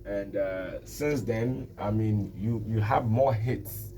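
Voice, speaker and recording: A man's voice: lowish voice, takes it slowly, dark tone, faint music behind. A man hosting a radio interview in a friendly, loose way, with lots of fillers and hesitations. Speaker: radio host. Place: radio studio.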